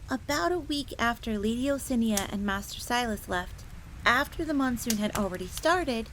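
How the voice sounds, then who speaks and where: A woman's voice: on the high side, natural voice. A woman voicing a young, earnest, secretive fox maid in a scripted fantasy audio roleplay, confiding in the listener. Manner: speaks of herself in third person, confiding. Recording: crackling fire noise behind voice. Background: crackling fire.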